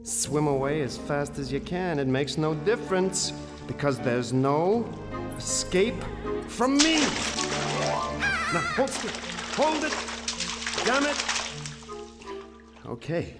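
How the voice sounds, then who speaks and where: A high, wildly swooping cartoonish penguin shapeshifter. He is brash, slangy and cocky, a wisecracker from an audio drama. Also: wildly swooping pitch, takes it slowly, long pauses, exaggerated voice. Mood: playful menace. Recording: long reverb, music behind.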